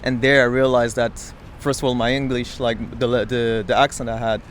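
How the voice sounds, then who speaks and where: A man's voice: mid-range voice, level tone, moderate pace, slight background noise. A young man from France with a French accent, answering a street interview outdoors, thoughtful and restarting often. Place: sunny beach promenade outdoors. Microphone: handheld mic held by interviewer.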